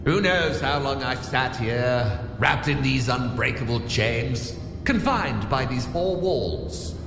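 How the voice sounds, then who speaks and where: An actor playing a chained prisoner who narrates an audio drama, teasing and confiding in the listener in a wry, cryptic way. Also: male voice, mid-range voice, animated, slow pace. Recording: echoing, long reverb, music underneath.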